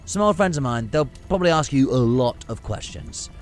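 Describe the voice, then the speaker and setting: A man's voice: medium pitch, animated, a little fast, soft background music, natural voice. A man playing a playful, flippant time-travelling adventurer in an audio drama. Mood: warm farewell. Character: the Doctor, playful male time-travelling adventurer, quick and evasive.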